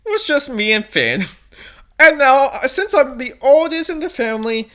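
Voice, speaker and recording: A woman's voice: high-pitched, very animated, moderate pace, pushed and bright, heavily acted. A woman voicing a melodramatic, edgy fourteen-year-old cat princess from a fanfiction, in an overdramatic, mock-serious manner. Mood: self-important, dramatic.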